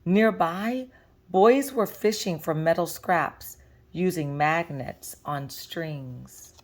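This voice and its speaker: Slow, low-pitched woman reading a story. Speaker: librarian reading a picture book aloud. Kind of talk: read-aloud storytelling for children.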